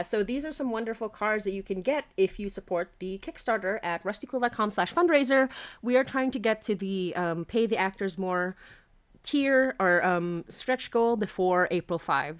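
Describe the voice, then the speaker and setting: A woman's voice: medium pitch, moderate pace, clear, resonant tone. Woman, livestream host, casual chat, bubbly, giggly, rambling.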